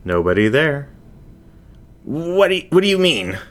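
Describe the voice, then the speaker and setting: Squirrel thief for an animal story, male reader putting it on: higher-pitched, cocky, blustering, easily rattled. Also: big pitch swings, a little slow. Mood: nervous bluster.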